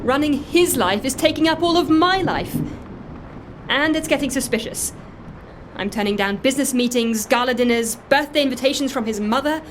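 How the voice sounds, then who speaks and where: A woman's voice: high-pitched, normal speed, background noise, close to an everyday voice. An actress playing an earnest, guilt-ridden woman who confesses and justifies herself in a matter-of-fact way, in naturalistic audio drama. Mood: overwhelmed. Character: earnest, guilt-ridden woman secretly running a dead man's life. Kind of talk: audio fiction drama acting, naturalistic dialogue.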